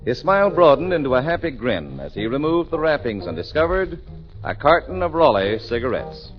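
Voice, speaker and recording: A man announcing a 1940s radio show with a polished, booming delivery. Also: big pitch swings, a little fast, faint music behind. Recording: dull old radio broadcast.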